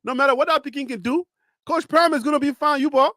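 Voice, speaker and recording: A man's voice: fast talker, dry recording, clean studio sound. A man with a Liberian accent hosting a live stream, arguing emphatically with rhetorical questions and teasing. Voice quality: animated, rising pitch when arguing. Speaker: live show host. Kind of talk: casual live-stream commentary.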